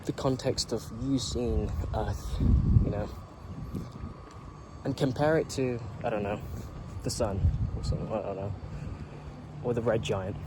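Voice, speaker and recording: A man's voice: lowish voice, fairly even intonation, measured pace, pauses often, very noisy. A man in his late teens, a YouTuber, talking through a rambling, earnest reflection and swearing casually.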